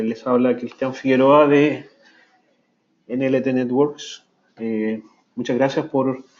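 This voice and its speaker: A man hosting a webinar, introducing the guest in a slow, halting way with many fillers. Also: mid-range voice. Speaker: webinar host and consultant. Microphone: computer mic over an online call. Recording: dull lo-fi online audio.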